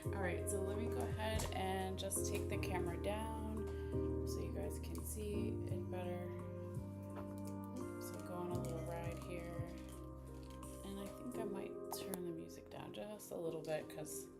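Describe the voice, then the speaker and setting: A woman with a soft voice giving a live-streamed bones and charms reading, talking gently with many small fillers as she arranges the pieces. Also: mid-range voice, animated, measured pace. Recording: echoey room with loud guitar music behind. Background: guitar music.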